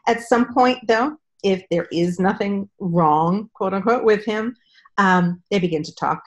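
A middle-aged woman speaking as a guest expert on a video call from a room at home, explaining in a measured way with frequent ums. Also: medium pitch, lo-fi sound. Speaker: speech-language pathologist guest.

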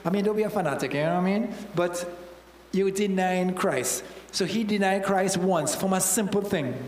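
A man's voice: high voice, moderate pace. A man in his fifties with a Trinidadian accent, preaching a sermon into a handheld mic and acting out Bible characters with local slang. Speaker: church elder preaching. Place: church hall with stage. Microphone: handheld microphone. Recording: roomy church hall reverb.

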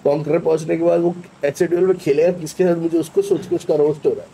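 A man's voice: a little high, steady tone, fast, vocal fry, dark tone, slight background noise, low-quality recording. A man in his thirties with an Indian accent chatting casually with teammates as he streams a game, blunt and exasperated. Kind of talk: casual live gameplay chat with teammates. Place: indoor room at a streaming setup.

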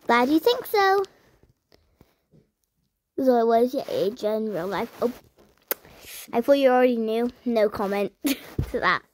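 A young girl hosting her own channel, chatting as she reads viewer comments aloud and answers them with sudden high squeals. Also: animated, unhurried, long pauses, a little hiss or hum. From United Kingdom. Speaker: channel host. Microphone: phone.

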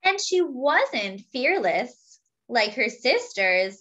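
A woman reading a picture book aloud to young children and commenting on the pictures, expressively and with pauses for emphasis. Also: a little high, very animated, bright, forceful tone, muffled recording. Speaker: children's storybook reader.

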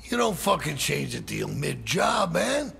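A man's voice: fairly high pitch, very animated, a little slow, creaky, pushed and bright, breathy edge, heavily acted. A man playing a middle-aged, hot-tempered, foul-mouthed crime boss in a video game, exasperated and profane. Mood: irritated. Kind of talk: cinematic game dialogue.

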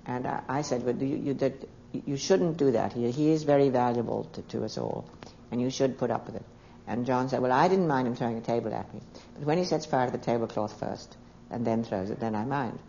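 An older woman reminiscing in an interview, telling her story in an emphatic, deliberate and articulate way. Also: a bass voice, vocal fry, warm tone. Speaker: interviewee recalling a theater colleague. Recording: dull archival interview with background noise.

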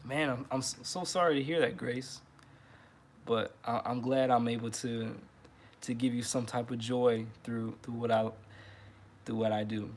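A man's voice: medium pitch, a little slow, a little hiss or hum. Friendly man chatting on a home livestream. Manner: relaxed and friendly, repeats words, many fillers. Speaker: trumpet teacher and livestream host. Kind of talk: casual livestream talk. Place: living room at home.

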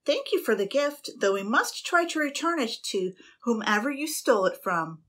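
Gentle young heroine for a storybook, high and breathy, doting, as a woman reads her. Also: sing-song, moderate pace. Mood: sweet. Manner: soft, breathy, lilting.

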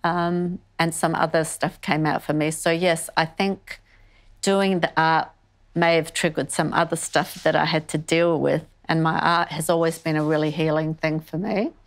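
Older female artist, low-pitched and fairly flat, reflective interview delivery, candid and explanatory. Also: moderate pace. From born in northern Greece, moved to Australia as a child. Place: indoor wood-panelled art studio. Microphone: lapel mic.

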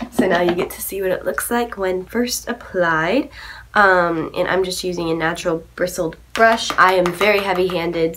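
Young woman, stuffy from a cold, low-ish voice, bubbly beauty-tutorial chatter, bedroom camera. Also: a bit bright, slight background noise. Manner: bubbly, chatty, frequent ums and likes. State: sick with a cold, voice lower than usual. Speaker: beauty vlogger. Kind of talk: casual makeup tutorial chat. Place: bedroom, indoors.

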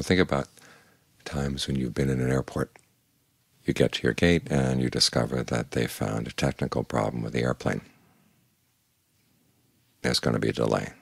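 An older man gives an evening meditation talk with calm, measured patience. His voice sits extremely low, with a gravelly, husky grain. The sound is close and dry, with no room around it. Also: no room sound. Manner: calm, measured, plain-spoken teaching. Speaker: Buddhist monk giving a Dhamma talk.

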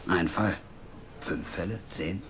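An actor playing a driven, persuasive investigative team leader in an audio drama, casual yet insistent. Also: male voice, low voice, slow. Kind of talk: naturalistic German audio drama acting. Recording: clearly noisy background.

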